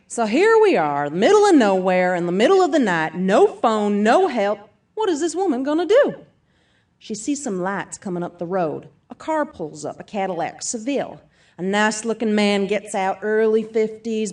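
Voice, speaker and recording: A woman's voice: medium pitch, darkish tone, far from the mic, lightly acted. An actress playing a brash, upbeat self-defense seminar speaker who addresses her audience emphatically in an audio theatre piece. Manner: emphatic, peppy motivational-speaker delivery, folksy asides.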